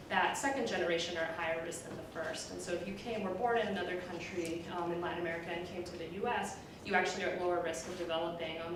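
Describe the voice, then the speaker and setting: A woman researcher giving an academic lecture with slides in a semi-conversational, explanatory way, with frequent ums and you-knows. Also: fairly low pitch. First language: bilingual, Puerto Rican background. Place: indoor lecture room. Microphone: distant room microphone. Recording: roomy echo, distant mic, clear background noise.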